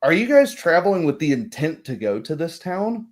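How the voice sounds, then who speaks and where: A man speaking as game master, casually setting up a tabletop roleplay session in a rambling, halting way that often corrects itself. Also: a little high, big pitch swings.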